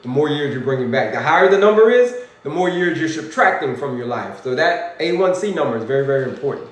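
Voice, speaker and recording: A man in his forties, an energetic health coach hosting a livestream, animated and repetitive as he preaches to viewers and reads chat comments. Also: on the high side, quick pace. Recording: very echoey room.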